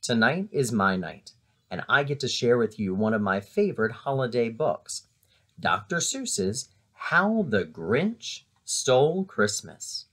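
Male read-aloud storyteller, middle-aged, highly varied pitch, unhurried, dramatic emphasis for young listeners. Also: sing-song, fairly bright tone. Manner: deliberate, dramatic emphasis with drawn-out repeated words. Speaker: elementary ESL teacher reading aloud. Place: indoors in a living room at home.

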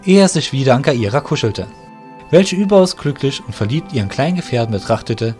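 A man narrating an audio drama in a steady, measured way. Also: mid-range voice, music in the background.

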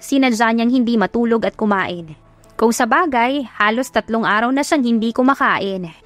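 A woman's voice: medium pitch, no room sound. A woman reading a scripted love story as a dramatic audiobook narrator. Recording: music behind the voice. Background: music.

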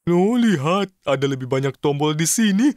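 A man voicing an eager, impatient little cartoon fellow with childlike excitement in a children's cartoon dub. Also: on the high side, normal speed, heavy background noise, lightly acted. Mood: eager, confident. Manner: excitable, exclamatory.

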